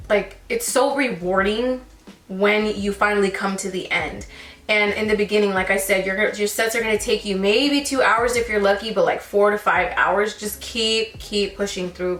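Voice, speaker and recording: A woman's voice: mid-range voice, a bit bright, echoey room, recorded from a distance, low-quality recording. A woman in her twenties chatting casually as she answers viewer questions about lash work, calling her viewers girl and sis. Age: 20s. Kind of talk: casual Q&A chat while sipping wine.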